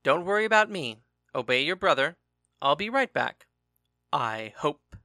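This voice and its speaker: Male reader doing an unflappable husband, calm and placating. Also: a little high, measured pace, no room sound, studio quality, heavily acted. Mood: reassuring. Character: calm, steady husband, a fighter and musician.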